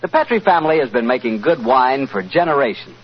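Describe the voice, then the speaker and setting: A man working as a 1940s radio announcer and pitchman, delivering scripted banter on a dull vintage recording. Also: lively intonation, brisk, slightly rough.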